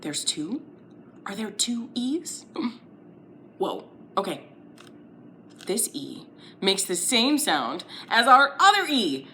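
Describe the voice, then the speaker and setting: A woman's voice: mid-range voice, sing-song, slow pace, pauses often, pushed and bright, slight background noise. A woman hosting a children's storytime lesson, speaking in simple short phrases and questions with a playful, exaggerated singsong delivery. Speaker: children's storytime host and teacher. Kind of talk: children's lesson, interactive and instructional.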